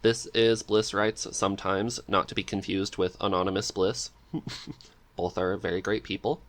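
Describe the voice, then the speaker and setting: Low-voiced man hosting a casual podcast. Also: fairly even intonation, a little slow. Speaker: podcast host interviewing a guest.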